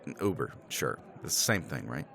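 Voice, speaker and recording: A man's voice: a bass voice, sing-song, moderate pace, gravelly, fairly bright tone, heavily acted. A man playing a tipsy middle-aged professor in a romance roleplay, rambling with chuckles and casual swearing. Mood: persuasive. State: tipsy from scotch. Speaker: roleplay character.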